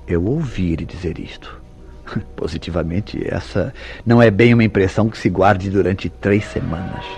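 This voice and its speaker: An actor playing a suspicious, coolly insistent husband in an old-fashioned radio play, with formal, measured, probing diction. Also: male voice, sits low, a little mellow, soft background music.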